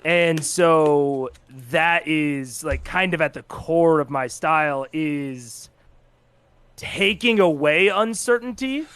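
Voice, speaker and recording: A man's voice: on the high side, slow, a bit bright, smooth, no echo. A man speaking as an interview guest, informally explaining how he runs tabletop games. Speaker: guest, content creator and game master. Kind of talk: casual interview chat about tabletop games.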